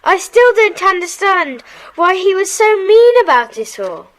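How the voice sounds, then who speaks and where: Woman as very high-voiced, earnest schoolboy. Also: very animated, a little slow, exaggerated voice, rough recording. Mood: regretful. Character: earnest, regretful schoolboy forced to leave his club. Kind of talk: children's audio drama acting.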